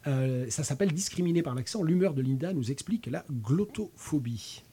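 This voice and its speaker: A man's voice: on the high side, lively intonation, normal speed, dark tone, husky. A man hosting a radio discussion, hesitant and often stumbling over his words. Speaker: radio host. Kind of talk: casual hosted discussion.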